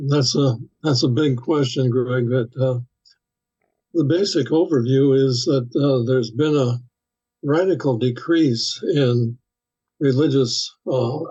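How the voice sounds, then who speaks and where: An older pastor speaks slowly and thoughtfully, stopping often to hesitate between phrases. His voice is mellow and dark in tone. The audio has a dull, muffled quality. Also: mid-range voice. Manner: slow and measured, many "uh" pauses. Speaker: pastor and guest. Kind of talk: interview answers.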